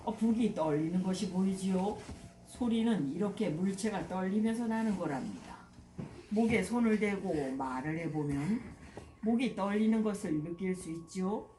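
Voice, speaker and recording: Woman playing a storybook goat schoolteacher, patient, instructive, medium pitch, echoey room, faint noise. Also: animated, normal speed, mellow, far from the mic, close to an everyday voice. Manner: instructive, addressing children with questions. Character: patient goat schoolteacher explaining to young animals.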